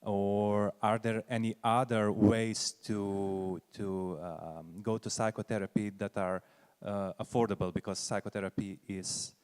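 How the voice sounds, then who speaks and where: A man's voice: lowish voice, flat delivery, measured pace, a little mellow, clear, resonant tone. A man from the audience carefully asking a question, searching for words. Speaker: audience member. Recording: long hall reverb.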